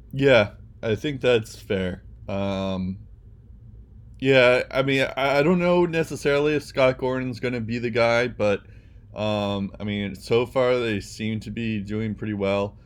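A man's voice: mid-range voice, takes it slowly, clean tone. A young man co-hosting a casual sports podcast, talking hesitantly with many ums and restarts. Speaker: podcast co-host.

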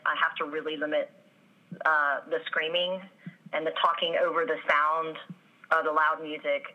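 Low slightly raspy woman, muffled. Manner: slightly raspy, many ums and restarts. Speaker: voice actress guest. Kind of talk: interview answers.

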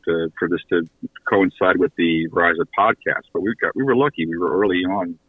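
Low-voiced man in a dry studio. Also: a little fast, slightly rough, no room sound, narrow, tinny audio. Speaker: podcast creator and guest. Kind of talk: casual radio interview.

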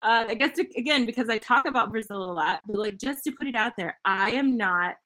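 A woman's voice: on the high side, brisk, bright, forceful tone, low-quality recording. A young woman from Tennessee hosting a podcast over a remote call, chatty and casual, with lots of "like" and "um" and frequent laughter. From Memphis, Tennessee, United States. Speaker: podcast host.

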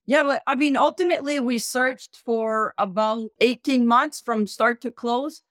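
A woman in her thirties from Quebec, a guest recounting her business journey in lively conversation. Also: unhurried, no echo, studio quality. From Canada (Québec). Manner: animated, rises in pitch when emphasizing. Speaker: guest, search fund entrepreneur. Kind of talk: conversational interview.

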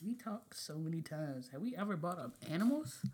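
Blunt young woman, lively pitch. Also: a little fast, breathy edge. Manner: blunt, profane, laughing banter. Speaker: podcast host. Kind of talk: casual chat.

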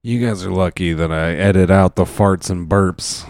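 A man's voice: sits low, normal speed, a little mellow, slight background noise. A man co-hosting and editing a podcast, wry and teasing. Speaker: podcast co-host and editor. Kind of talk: casual podcast banter.

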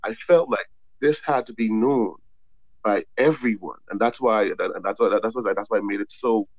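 Man from the Bahamas, author guest, repeats words, lively storyteller, dull lo-fi dry sound. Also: lowish voice, moderate pace, dry recording. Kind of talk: casual interview talk.

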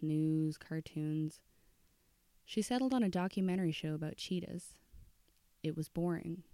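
Mellow, low-voiced woman reading fiction. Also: smooth, no room sound, clean studio sound. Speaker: audiobook narrator. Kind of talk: scripted fiction narration.

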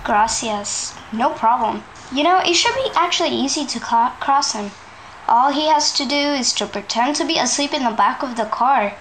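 A woman voicing a steady, reassuring adult aunt in an amateur audio drama. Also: high-pitched, moderate pace. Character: calm adult woman smuggling nephew, Tia Blanca. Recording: noisy amateur recording.

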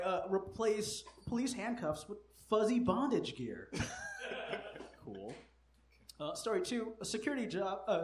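A man hosting a live comedy quiz, joking, swearing and laughing often. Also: high voice. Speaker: comedy show host. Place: live comedy venue with audience. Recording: echoey live room, faint noise.

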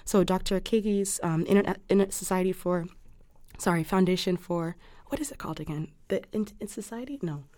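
Low, mellow-voiced woman, articulate and measured. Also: no room sound. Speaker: physician interview guest. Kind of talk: conversational, technical explanation.

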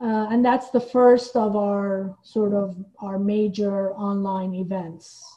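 A woman's voice: medium pitch, level tone, takes it slowly, mellow, muffled recording. A woman from Iran speaking thoughtfully and hesitantly as a guest in a conversational interview. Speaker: guest, playwright and theater artistic director.

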